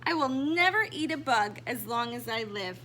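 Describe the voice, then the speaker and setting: A woman's voice: fairly high pitch, animated, moderate pace, bright, forceful tone, slight background noise. A woman voicing a clumsy, earnest young female fruit bat in a children's storybook, with childlike exclamations. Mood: excited.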